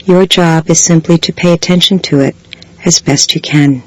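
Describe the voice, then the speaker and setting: A woman guiding a body scan meditation in a slow, measured way, leaving long pauses between phrases. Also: sits low, fairly even intonation, poor audio. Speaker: meditation guide. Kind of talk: guided body scan meditation, scripted soothing instruction.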